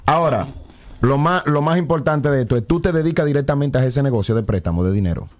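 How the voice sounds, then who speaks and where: A man's voice: mid-range voice, animated, fast. Man interviewing and prompting a guest. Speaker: host.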